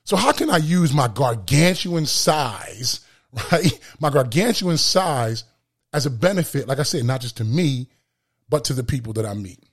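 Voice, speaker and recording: A man hosting a solo motivational podcast with a booming voice, speaking emphatically and repeating phrases for effect. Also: expressive, measured pace, slightly rough. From lives in Florida. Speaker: podcast host.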